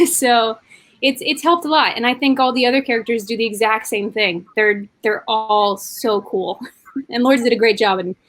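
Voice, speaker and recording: A woman's voice: a little high, moderate pace, fairly bright tone. A woman cast member on a video call, talking hesitantly with little laughs and fillers. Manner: hesitant, giggly, many fillers. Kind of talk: casual video call interview.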